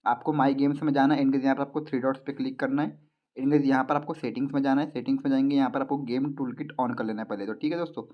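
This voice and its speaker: A man's voice: medium pitch, fairly even intonation, rapid-fire. Indian man, dull lo-fi tutorial voiceover. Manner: repetitive, often addresses listeners as guys. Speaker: YouTube tech tutorial host. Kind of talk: casual step-by-step phone tutorial narration. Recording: dull lo-fi sound.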